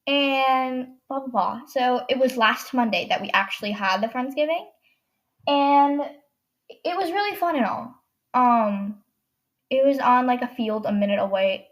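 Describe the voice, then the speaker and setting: Teen girl, podcast host, congested nose, rambly casual storytelling, slightly high voice. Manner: rambling, many fillers and self-corrections.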